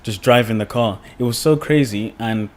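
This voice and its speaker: A young man from the UK, a podcast guest, talking in a relaxed, rambling way with many fillers. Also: mid-range voice, normal speed. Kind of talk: casual podcast conversation.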